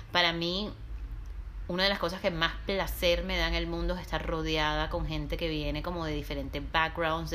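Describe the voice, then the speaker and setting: A woman's voice: low-pitched, fairly bright tone, slight background noise. A woman from Colombia's Caribbean coast with its accent, speaking in a casual podcast chat, reflective and earnest, with frequent hesitations. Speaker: podcast co-host and guest telling her story.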